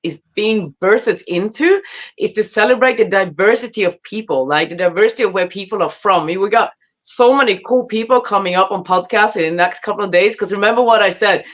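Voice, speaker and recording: A woman hosting a casual live interview in an excitable, informal way. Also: medium pitch, brisk. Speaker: podcast host. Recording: dull lo-fi online audio.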